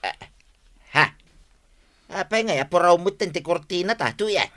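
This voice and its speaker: A man's voice: sits high, expressive, measured pace, sharp tone, full character voice. A man playing a fussy, superstitious, easily spooked husband with an Ilocano accent in a radio comedy, whining and stammering out repeated words. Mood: spooked, pleading. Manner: whiny, exclamatory, stammering repeats.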